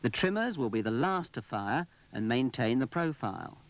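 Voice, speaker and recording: A man's voice: mid-range voice, big pitch swings, normal speed. Instructive male narrator, muffled old film. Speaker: narrator and presenter. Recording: muffled, noisy 1980s film soundtrack.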